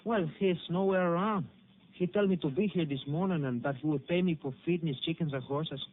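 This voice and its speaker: A man's voice: a little high, a little fast, a little hiss or hum, lightly acted. A man playing a young ranch boy. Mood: earnest. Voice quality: broken English, simple phrasing. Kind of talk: 1950s radio drama acting. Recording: tinny old radio broadcast.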